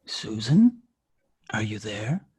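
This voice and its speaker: A man playing a sinisterly polite, taunting supernatural kidnapper with a husky, gravelly voice in a screenplay table read. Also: fairly low pitch, sing-song, a little mellow, heavily acted. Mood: coolly menacing. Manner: slow, taunting, mock-courteous.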